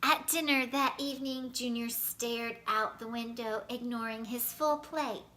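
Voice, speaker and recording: A woman reading a children's storybook aloud in an animated, scripted storytelling style. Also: on the high side, measured pace.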